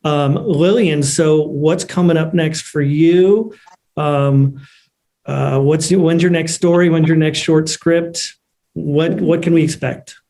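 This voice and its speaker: A man with a mellow, slightly high voice for a man. He moderates a panel casually, handing questions to the guests. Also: steady tone, slow pace.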